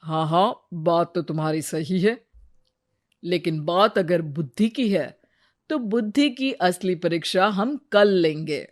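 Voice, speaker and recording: A woman voicing a patient but stern royal minister in a cartoon story, measured and instructive. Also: lowish voice.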